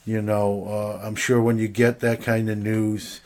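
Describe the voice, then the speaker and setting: Low-voiced reflective man, hesitant. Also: monotone, normal speed, darkish tone. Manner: hesitant, full of fillers, reflective. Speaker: guest. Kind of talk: casual chat.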